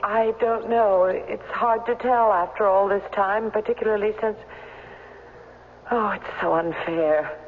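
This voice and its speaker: Lady acting torn fretful woman, halting and emotional, old radio play, phone-like sound with faint hiss. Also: medium pitch, steady tone, lightly acted. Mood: distressed. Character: conflicted, fretful woman, former fiancee of a recluse. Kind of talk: 1970s radio drama acting. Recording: tinny, hissy old radio broadcast.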